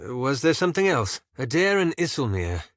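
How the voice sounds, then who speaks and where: An actor voicing a prim, bookish elf wizard in a fantasy video game, speaking formally and precisely with dry sarcasm. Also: male voice, medium pitch, big pitch swings, moderate pace, fairly bright tone, no room sound. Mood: irritated.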